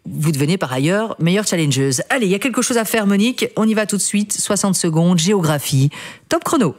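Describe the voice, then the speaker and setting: A woman hosts a live radio game show, her pitch swooping widely. She teases and jokes with her caller and co-host. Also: quick pace. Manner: playful, teasing, animated.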